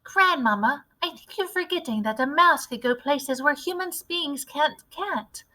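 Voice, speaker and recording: A woman voicing an eager, brave little boy who has been turned into a mouse, earnest and excitable, for a storybook. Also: sits high, measured pace, pitched above the performer's own voice, heavily acted.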